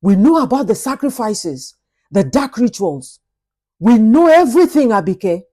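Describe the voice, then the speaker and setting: Woman voicing a desperate, determined wife, mellow toned. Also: on the high side, very animated, a little slow. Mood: accusing. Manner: earnest, pleading.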